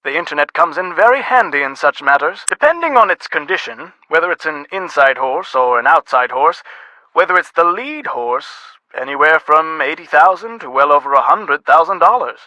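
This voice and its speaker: A man's voice: a little high, expressive, moderate pace, sharp tone, dry recording, low-quality recording. A man playing a genteel, self-satisfied antiques expert in a video game, with a pompous, courtly manner. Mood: smug, obliging.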